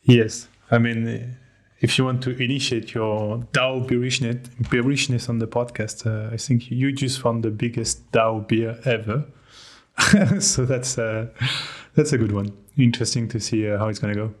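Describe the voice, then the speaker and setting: A man's voice: mid-range voice, fairly even intonation, moderate pace. A man from France with a French accent, a guest on a podcast, talking candidly with a self-deprecating humor. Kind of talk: casual podcast interview.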